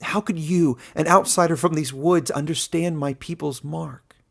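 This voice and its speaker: A man voicing a young outcast log splitter in a fantasy story, emotional and halting, bitter yet humble. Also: fairly high pitch, fairly even intonation, vocal fry, fairly bright tone, pitched above the performer's own voice. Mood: hurt, defiant. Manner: halting, emotional, stammering apologies and protests.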